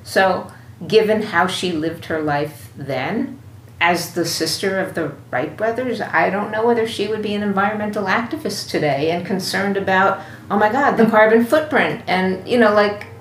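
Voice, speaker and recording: A woman's voice: medium pitch, normal speed. A woman guest commentator musing emphatically and off the cuff, as in an interview. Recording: echoey room with faint noise.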